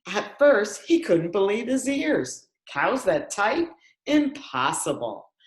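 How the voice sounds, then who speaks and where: An exasperated storybook farmer, as a woman reader puts him on, exclaiming in a raised, high pitch. He is indignant and emphatic, with drawn-out words in an echoey room. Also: sing-song. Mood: disbelieving. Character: indignant, exasperated male farmer in a picture book.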